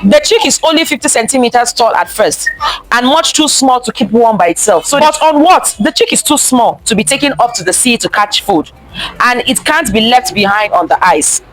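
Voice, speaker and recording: High-pitched, animated woman reading to children. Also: normal speed, poor audio. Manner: expressive, questioning, repeats phrases for young listeners. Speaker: teacher reading a picture book aloud to children. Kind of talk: interactive children's story time read-aloud.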